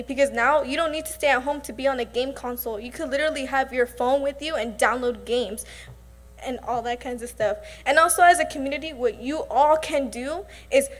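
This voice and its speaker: A young woman, a student presenter, giving an earnest prepared speech. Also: high voice.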